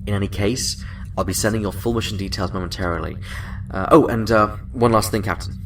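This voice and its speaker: A senior space fleet officer, played by a man, speaks in a measured, formal and wry way. His voice is fairly low and sits off the mic with faint noise. It is a science-fiction audio drama role. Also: fairly bright tone, recorded from a distance.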